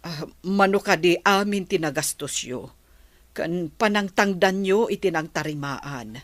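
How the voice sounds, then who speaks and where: An actress plays a protective mother in a radio drama. She speaks slowly in a lowish voice, humble and pleading, with a dry, close sound. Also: no room sound, natural voice. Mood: humble, hesitant. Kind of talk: Ilocano radio drama acting.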